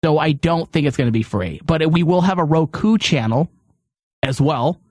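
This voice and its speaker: Dude hosting a podcast, kinda high voice, really dry studio sound, chatty, likes to tease. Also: male voice, animated, normal speed, a little mellow, no echo. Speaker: podcast host. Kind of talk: casual studio chat.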